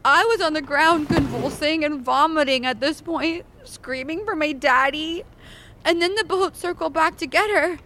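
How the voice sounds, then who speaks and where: Overwrought woman, very high pitch, melodramatic, emphatic, comedy audio drama, faint noise. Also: normal speed, bright, forceful tone. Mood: hysterical, mounting. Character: overwrought, self-dramatizing woman giving a confession.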